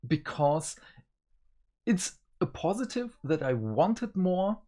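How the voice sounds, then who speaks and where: A man's voice: high voice, very animated, takes it slowly, warm tone. A man co-hosting a review show, talking casually with his partner.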